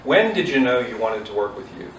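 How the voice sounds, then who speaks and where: A man's voice: mid-range voice, sing-song, brisk. A man hosting a casual interview as a church pastor, asking questions in a conversational way. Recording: echoey, distant mic, faint noise.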